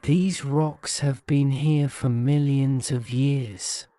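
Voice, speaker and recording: A man narrating a guided-imagery sleep story in a soothing, measured manner. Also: medium pitch, steady tone, warm tone, no echo.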